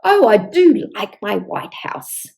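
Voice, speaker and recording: A woman's voice: mid-range voice, big pitch swings, slow, creaky, warm tone, full character voice. A woman voicing a fussy, house-proud wife in a children's storybook, with an emphatic manner. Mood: pleased.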